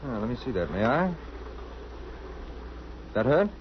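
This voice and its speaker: An actor playing a gentle, self-effacing island doctor in old-time radio drama style, courteous, soothing and a little hesitant. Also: male voice, medium pitch, big pitch swings, a little slow. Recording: muffled, noisy old radio recording.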